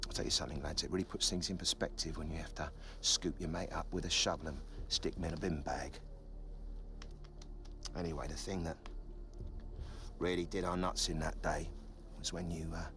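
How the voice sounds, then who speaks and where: An actor playing a foul-mouthed soldier who tells a gruesome war story in a low, hushed voice with dark humour. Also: male voice, fairly even intonation, fairly quick, background noise. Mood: grim. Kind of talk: film dialogue. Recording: film soundtrack with a music score behind.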